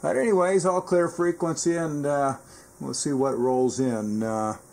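A man's voice: a little high, fairly even intonation, unhurried, creaky, a little mellow. An American man with a folksy, slangy way of talking, giving a casual hobbyist demonstration and chatting on CB radio. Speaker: radio repair technician and video host. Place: indoor radio workbench.

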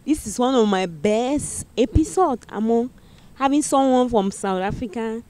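A woman in her forties from Cameroon hosting a talk show, effusive and full of excitement, speaking into a handheld mic outdoors in a park. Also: a little high, a little slow. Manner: effusive, repeats excitement, laughs often. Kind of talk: enthusiastic interview hosting. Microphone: handheld microphone.

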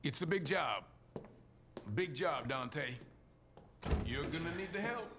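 An American actor playing a shrewd, easygoing older job broker in a video game, smooth-talking and teasing. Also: male voice, a little high, lively intonation, slow pace, noisy background. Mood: wry, businesslike. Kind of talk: video game cutscene acting.

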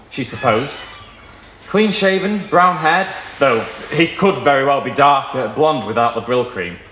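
A young man giving an expressive, theatrical live reading of literary fiction. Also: mid-range voice, slight background noise. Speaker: story reader. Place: small indoor performance room with wooden wall. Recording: roomy live venue sound.

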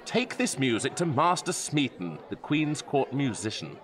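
Wildly animated fussy Tudor composer. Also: male voice, medium pitch, a little slow. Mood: brisk, pleased. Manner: theatrical, flustered. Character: fussy, earnest, anxious Tudor court composer. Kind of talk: historical comedy audio drama acting.

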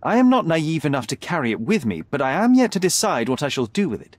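Confident young detective, lively voice. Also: male voice, on the high side, fast, no echo. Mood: assured, accusing. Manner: articulate, formal, pointed. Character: confident, sharp young male detective, needling and unflappable. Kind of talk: dramatic video game acting.

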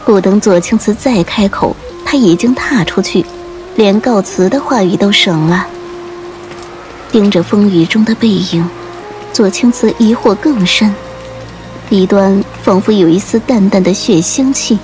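A woman reading a dramatic audiobook story in a measured, literary storytelling style. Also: mid-range voice. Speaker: audiobook narrator. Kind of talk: dramatic scripted storytelling narration. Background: faint music.

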